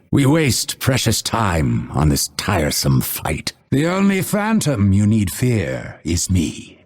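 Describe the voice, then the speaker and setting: A vengeful, exiled male assassin from a video game. His voice is gravelly and unhurried, swinging dramatically in pitch. He speaks with proud, theatrical menace, each line delivered as an ominous threat. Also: a little mellow, full character voice. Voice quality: gravelly, raspy.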